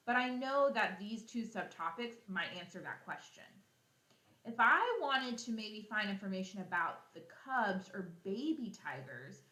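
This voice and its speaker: Female primary teacher for a kids' read-aloud, bright and crisp-edged, instructive with question-and-answer phrasing, recorded in a roomy space. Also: medium pitch. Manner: animated, instructive, asks questions and answers them herself. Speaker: elementary school teacher. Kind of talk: read-aloud lesson for young children, explaining as she reads.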